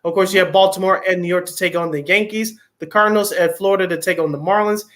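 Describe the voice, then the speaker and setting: A man co-hosting a casual sports radio show, reading off game schedules in quick runs with wry asides. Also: high-pitched, fairly even intonation, fairly bright tone.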